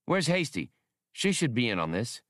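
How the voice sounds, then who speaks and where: A man voicing a brisk, wry space smuggler captain in an audiobook, casual and punchy. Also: mid-range voice, big pitch swings, fairly bright tone, no room sound, clean studio sound.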